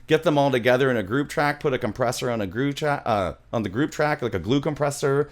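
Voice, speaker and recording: A man's voice: medium pitch, quick pace. Rambling, enthusiastic man hosting a tutorial. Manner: rambling, enthusiastic, self-interrupting. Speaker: music production tutorial host. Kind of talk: casual screen-share tutorial chat. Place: small indoor home studio. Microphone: close studio microphone, headphones on.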